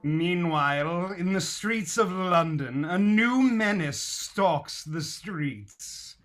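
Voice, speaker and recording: An actor in a radio play performing as an emphatic, declamatory narrator and keeper. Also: male voice, high voice, lively intonation, takes it slowly, fairly bright tone, a little hiss or hum, full character voice. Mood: ominous reporting.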